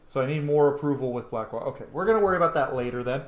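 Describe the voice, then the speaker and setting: A man's voice: mid-range voice, lively intonation, very fast. A man giving casual gameplay commentary, thinking aloud and muttering to himself as he goes. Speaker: let's play host. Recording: roomy echo, faint background noise.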